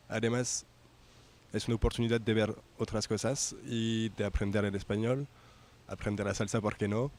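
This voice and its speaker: A young man from France with a French accent, a native French speaker, giving an informal testimonial as a student and haltingly searching for words in a second language. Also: lowish voice, darkish tone. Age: young adult.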